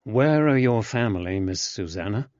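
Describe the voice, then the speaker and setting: Male reader playing a patient, shrewd detective, measured and even; storybook, dry close sound. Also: on the low side, no room sound, lightly acted. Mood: calm. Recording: dull lo-fi, dry.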